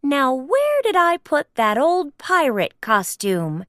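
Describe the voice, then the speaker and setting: Woman voicing a high, excitable cartoon mouse. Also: slow, dry recording, clean studio sound, exaggerated voice. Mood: excited, hurried. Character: cheerful, excitable male cartoon mouse, easily startled.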